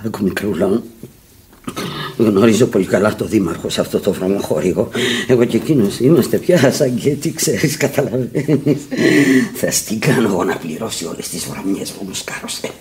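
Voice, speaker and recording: An actress playing a sick, drunken, embittered fading prostitute in a Greek radio drama, low and weary, rambling and repeating herself. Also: female voice, lively intonation, dark tone. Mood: bitter, defiant. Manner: rambling, repetitive, volatile. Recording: old radio recording with hiss and clicks.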